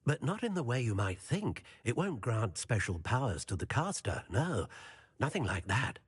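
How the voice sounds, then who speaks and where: Game role: elderly kindly wand-maker, very husky and breathy, lilting and theatrical, gentle, wistful. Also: male voice, medium pitch, very animated, moderate pace. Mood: earnest, wistful. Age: older. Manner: theatrical, savouring his phrases. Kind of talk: video game character acting.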